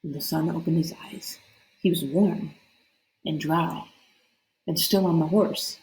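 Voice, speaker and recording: A woman reading a story aloud as an expressive narrator, with deliberate, dramatic emphasis. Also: sits low. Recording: echoey room.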